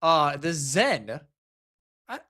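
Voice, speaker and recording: A man's voice: on the high side, very animated, very slow, pushed and bright. A man doing live esports commentary in a casual, colloquial way, sometimes voicing imagined reactions as he explains.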